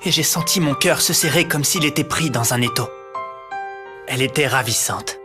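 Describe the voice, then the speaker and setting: A man's voice: medium pitch, normal speed, over music, lightly acted. A young man voicing a polite, smitten student who also narrates, in an amateur cartoon dub. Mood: dreamy. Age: young adult. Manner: polite, earnest.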